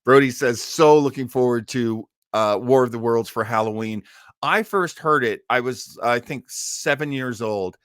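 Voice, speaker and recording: An American man hosting a casual live stream, talking in a rambling, halting way with chuckling asides. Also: mid-range voice, fairly bright tone, clean tone, no room sound, clean studio sound. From Maine, United States. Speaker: host of an online old-time radio listening show.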